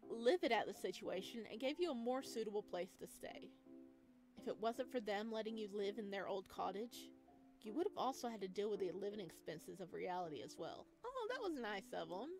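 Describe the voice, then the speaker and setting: Woman reading a game aloud over music. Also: mid-range voice. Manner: expressive reader who breaks into chatty asides. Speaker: video game let's player. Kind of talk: reading visual novel narration aloud with casual reactions. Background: background music.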